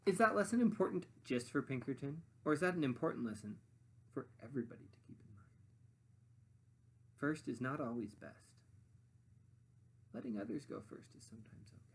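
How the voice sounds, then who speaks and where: A woman reading a storybook expressively to children, with dramatic pauses and asides to her young listeners. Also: extremely low pitch, animated, husky. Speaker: storybook reader.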